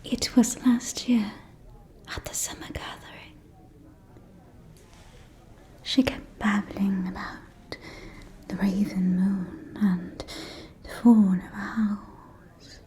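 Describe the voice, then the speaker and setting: A woman playing a gossiping, catty aristocratic vampire lady in a whispered ASMR roleplay, with a breathy, confiding, arch delivery. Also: medium pitch, steady tone, warm tone, natural voice. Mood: wry, dismissive. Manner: slow, confiding, arch, drawling.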